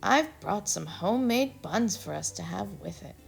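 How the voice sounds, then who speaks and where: A woman's voice: mid-range voice, lively intonation, normal speed, creaky, soft background music. Woman doing a gracious hazelnut neighbor lady. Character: friendly hazelnut mother neighbor.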